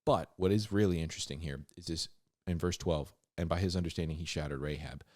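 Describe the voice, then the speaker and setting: A man hosting a Bible reading, mixing scripture with informal, slangy commentary and animated asides. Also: low-pitched, quick pace, no echo. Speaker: host of a Bible reading show.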